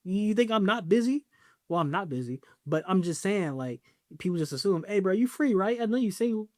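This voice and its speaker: A man's voice: high voice, animated, brisk, a little mellow, no room sound, studio quality. A young man hosting his own podcast, talking casually in a loose, joking way with lots of slang and fillers. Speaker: podcast host. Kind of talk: casual solo podcast talk.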